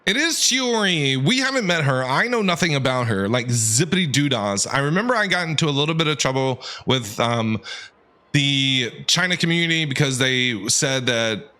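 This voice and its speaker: A man's voice: mid-range voice, animated, normal speed, sharp tone. A man giving casual, chatty and opinionated commentary while streaming a game. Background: game music.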